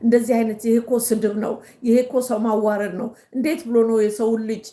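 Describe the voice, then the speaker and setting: An older Ethiopian woman with an Ethiopian accent, hosting a casual livestream. Her first language is Amharic. She speaks halting English full of fillers and slips quickly into Amharic. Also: on the high side, little pitch movement, mellow. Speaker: livestream host. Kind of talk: casual live-stream monologue. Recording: roomy echo.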